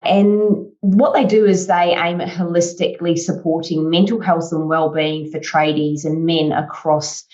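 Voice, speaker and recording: Woman hosting a podcast, lower voice. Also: highs cut off. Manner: upbeat, warm interviewer who summarises answers. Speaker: podcast host.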